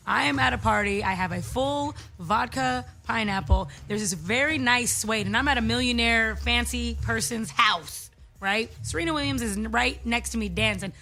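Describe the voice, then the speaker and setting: A woman guest on a talk show, telling a story casually with colloquial, emphatic, drawn-out phrasing. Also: a little high, gravelly, bright, forceful tone.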